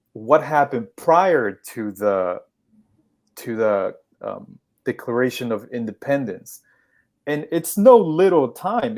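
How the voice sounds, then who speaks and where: A man from Peru speaking on a podcast, explaining things in a measured way with pauses and restarts. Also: mid-range voice, darkish tone. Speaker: podcast guest. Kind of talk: conversational podcast talk.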